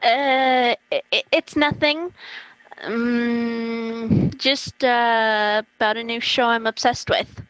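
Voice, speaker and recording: A young woman plays a nosy but hesitant young friend in a radio play. Her voice is fairly high, recorded dry and close. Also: fairly even intonation, slow, a bit bright, no echo, rough recording. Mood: awkward. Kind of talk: amateur radio play acting.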